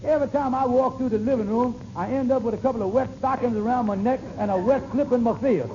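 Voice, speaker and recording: A man's voice: extremely high pitch, fast talker. A man acting a scheming, flustered middle-aged husband in a 1940s radio comedy, with a hesitant, folksy, exasperated way of talking. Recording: noisy, dull old radio recording.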